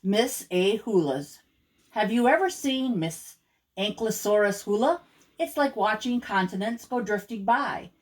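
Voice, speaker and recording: An older woman, a grandmother, reading children's rhyming poems aloud in an expressive, rhythmic, theatrical way. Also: mid-range voice, measured pace.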